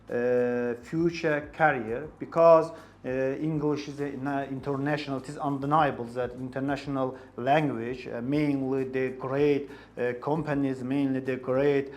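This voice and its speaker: Even-toned man. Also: mid-range voice. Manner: hesitant, repeats phrases while searching for words. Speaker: English club host, interviewer. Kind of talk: club discussion in a second language.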